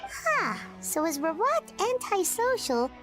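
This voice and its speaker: A tiny, chirpy fairy sidekick from a video game. The voice is extremely high and swings about expressively, childlike and nosy, with clear music behind it. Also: female voice, slow pace, full character voice. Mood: curious, matter-of-fact. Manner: refers to self in third person, expressive. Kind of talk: English video game voice acting.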